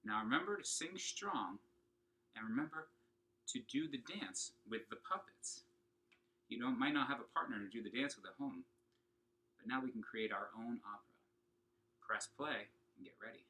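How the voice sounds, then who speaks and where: A man's voice: mid-range voice, expressive, normal speed, long pauses, sharp tone, slight background noise, rough recording. A man giving an upbeat, encouraging lesson to children, talking to them directly and asking questions as he goes. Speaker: children's singing and opera teacher. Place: at home.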